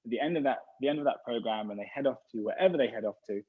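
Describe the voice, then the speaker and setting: A man's voice: medium pitch, very fast, breathy edge. A man, a rabbi, talking about his program in a casual interview over a video call, rambling and restarting his sentences. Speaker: rabbi representing a gap year program. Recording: dull lo-fi sound.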